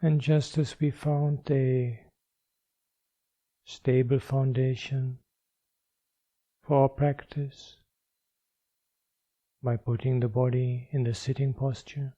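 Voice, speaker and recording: A man leading a guided meditation as a teacher, speaking calmly and in measured short phrases with long pauses. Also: medium pitch, dry recording, rough recording.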